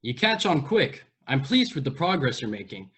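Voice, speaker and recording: A calm, encouraging musical director, played by a man in a radio drama. His mid-range voice is rough-edged and husky, with plenty of melody. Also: mid-range voice, moderate pace. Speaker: actor in a radio play. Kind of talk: radio play acting.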